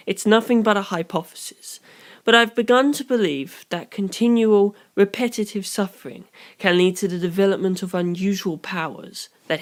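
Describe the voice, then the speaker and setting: A reader voicing a calm, analytical young detective in an audiobook, speaking in measured, formal, deliberate phrases. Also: mid-range voice, natural voice. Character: Tsukumajuku, calm analytical young detective explaining grim theories.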